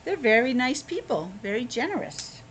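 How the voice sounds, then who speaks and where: A woman reading a children's story aloud in an expressive way, pausing to explain things simply as a teacher would. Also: on the high side, background noise. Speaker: schoolteacher reading a picture book aloud to children.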